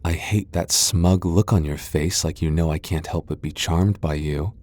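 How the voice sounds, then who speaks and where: Casting: male actor as haughty tsundere vampire lord, low-pitched, prickly and easily flustered, for intimate boyfriend roleplay audio. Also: fairly even intonation, moderate pace, darkish tone, no echo. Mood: grudging, flustered. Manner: haughty, defensive, stammering when flustered. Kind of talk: ASMR boyfriend roleplay acting.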